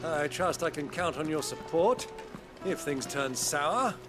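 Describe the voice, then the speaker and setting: A man's voice: a little high, animated, a little slow, music in the background. An actor playing a cunning older nobleman in a video game, speaking in a wordy, urbane, wry manner. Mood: smooth, composed. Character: cunning older nobleman uncle posing as crystal buyer, witty.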